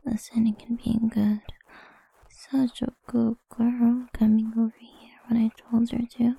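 A woman speaking in a soft, slow, coaxing whisper for a close-mic ASMR roleplay. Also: a little high, flat delivery, vocal fry, husky, dry recording, poor audio.

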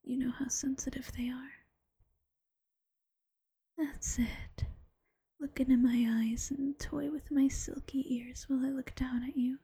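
A woman voicing an affectionate, teasing bunny-girl girlfriend in a slow, coaxing ASMR whisper. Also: sits high, flat delivery, dark tone, breathy edge, no echo, full character voice. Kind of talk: ASMR roleplay.

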